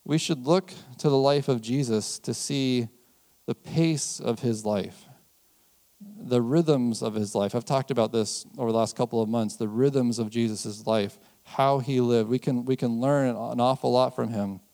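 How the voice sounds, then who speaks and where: An American man preaching a sermon in a conversational way, chuckling often and slowing down to stress key phrases. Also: mid-range voice, steady tone, dark tone. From United States. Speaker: pastor.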